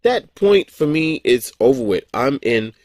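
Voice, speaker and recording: A man in his forties talks off the cuff in a casual vlog monologue, blunt and heavy on profanity. He stumbles into restarts and breaks into short chuckles as he goes. His voice is mid-pitched and sounds dry and close, with no room echo. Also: big pitch swings, a little slow, darkish tone. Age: 40s. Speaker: vlogger.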